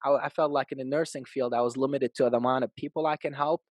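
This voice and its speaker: A man in his thirties from Iraq, a podcast guest telling his life story in an upbeat, animated way. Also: mid-range voice, fast talker, dry recording. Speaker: podcast guest, real estate agent. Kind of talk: casual interview storytelling.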